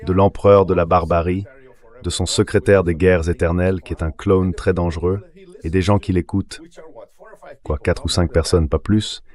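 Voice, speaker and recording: A man's voice: sits low, a little mellow. A man's AI dubbing voice delivering translated political commentary as an interview guest. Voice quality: synthetic AI dubbing voice.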